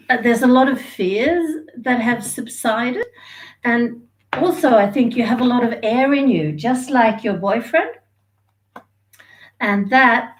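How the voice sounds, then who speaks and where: Slow-talking woman reading tarot. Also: mid-range voice, low-quality recording. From Sweden and Australia. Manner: chatty, rambling, laughs easily. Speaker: tarot reader. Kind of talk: live tarot card reading.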